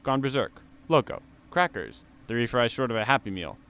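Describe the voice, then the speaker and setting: A man playing a slow-witted, joking young co-worker in a comedy audio drama, blunt and slangy. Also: medium pitch, sing-song, a bit bright, clear, resonant tone. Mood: blunt, dramatic. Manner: blunt, colourful slangy speech.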